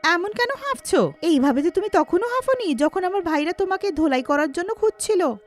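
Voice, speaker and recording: A sharp-tongued village wife played by a woman in a cartoon tale. The voice sits high and has a scolding, mocking edge, with soft music underneath. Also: animated, a little fast. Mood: teasing.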